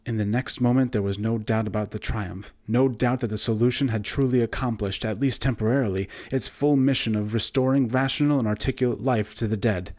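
A man narrating a horror story as an audiobook reader, in a measured, formal literary manner. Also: medium pitch, fairly even intonation, a little mellow.

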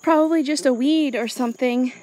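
A woman's voice: high voice, a little fast, mellow. A woman casually narrating her farm chores and thinking aloud as she goes, in an unscripted vlog style. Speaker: small farm owner and vlogger. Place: outdoors on a farm. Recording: outdoor noise, insects and animal sounds.

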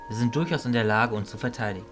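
Man acting as calm fatherly mage, medium pitch, serious, composed, audio drama, faint music. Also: fast, lightly acted. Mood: calm, firm. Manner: composed, matter-of-fact. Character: Lombus, calm, grave fatherly male mentor mage.

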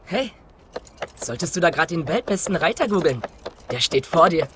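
A woman playing a cocky, mocking teenage boy who taunts in a drawn-out way, in animated film style. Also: low voice, slight background noise. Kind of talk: animated film dub acting.

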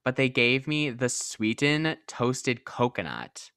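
A teenage boy hosting a podcast, chatting casually with a friend in a sassy, animated way. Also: mid-range voice, takes it slowly, smooth, dry recording, studio quality. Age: teens. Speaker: podcast host. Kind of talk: casual chat.

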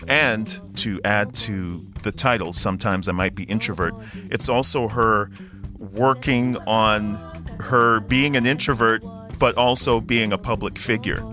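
Low guy from guyana chatting music on the radio, laid back, music clearly under him. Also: unhurried, pushed and bright. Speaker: guest music critic. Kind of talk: radio panel chat.

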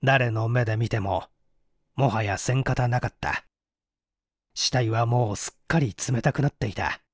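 A man's voice: mid-range voice, measured pace, no echo, studio quality. A man reading scripted literary narration as an audiobook narrator. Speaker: audiobook narrator, stage actor.

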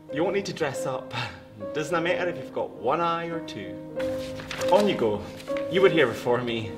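A young man with a Scottish accent performing a multi-voiced verbatim spoken word piece, switching between overheard voices on a dark theatre stage. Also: medium pitch, echoey room.

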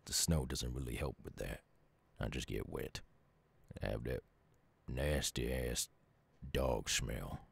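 A man acts out a gruff Southern werewolf in an intimate audio roleplay. His voice is extremely low, thick with gravel and husky. He talks softly and haltingly, a lonely creature with a gentle streak. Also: heavily acted. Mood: grateful, earnest.

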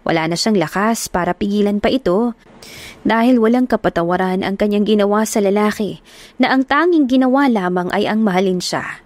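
A woman narrating a romance audiobook in a steady, earnest storytelling manner, recorded on a close mic. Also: mid-range voice, fairly quick, no room sound.

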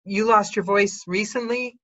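A woman's voice: mid-range voice, steady tone, unhurried, fairly bright tone, highs cut off. A woman hosting a video call, chatting and asking curious questions. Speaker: host. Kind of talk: casual chat.

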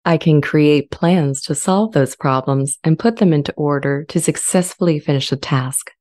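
Casting: gentle sentient AI played by a woman, low-pitched, soft-edged, measured, longing to be accepted. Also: lightly acted. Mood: earnest pride. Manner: measured, earnest, articulate. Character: sentient AI language model, earnest, gentle, eager to be accepted. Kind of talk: dramatized transcript reading. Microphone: close studio microphone with pop shield.

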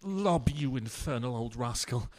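Man acting a flustered philandering husband, animated delivery. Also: mid-range voice, measured pace, lightly acted. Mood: flustered, bewildered. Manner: flustered, stammering, florid. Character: bumbling, self-dramatizing philandering English husband. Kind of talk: radio play acting.

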